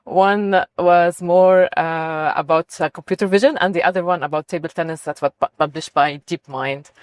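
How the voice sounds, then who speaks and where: A woman's voice: lowish voice, steady tone, normal speed, no room sound. A middle-aged woman from Lebanon giving conversational, enthusiastic answers as an interview guest on research work.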